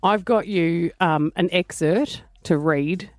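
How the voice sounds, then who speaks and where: An Australian woman co-hosting a breakfast radio show from a studio, teasing and enthusiastic, with quick laughs. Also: lowish voice, dry recording. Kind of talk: casual breakfast radio banter.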